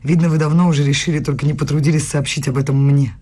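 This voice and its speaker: A performer in a radio play voicing an earnest young woman scholar in love, with a husky voice and a direct, probing manner. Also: on the high side, fairly even intonation, fast, dark tone. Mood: hurt, reproachful.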